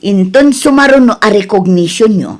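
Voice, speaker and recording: A woman's voice: mid-range voice, lively intonation, moderate pace, faint music behind, natural voice. A woman with an Ilocano accent acting as a boastful, strict mother in an audio drama, scolding and mocking as she talks. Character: boastful, strict mother who belittles neighbors' children.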